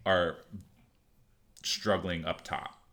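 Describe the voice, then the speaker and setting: A man who coaches shooting, talking casually on a podcast in a halting, laid-back way full of restarts and fillers. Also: deep voice, little pitch movement, a bit bright.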